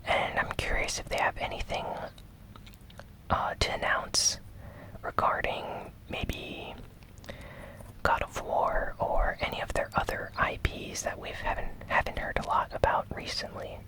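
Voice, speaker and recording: An ASMR host recapping news entirely in a breathy, unvoiced whisper, with gentle, measured phrasing and short pauses. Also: poor audio. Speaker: host of a gaming news show.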